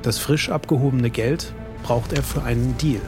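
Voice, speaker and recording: A man's voice: mid-range voice, steady tone, normal speed. A man reading dramatic scripted narration for a true crime documentary. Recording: loud suspense music underneath.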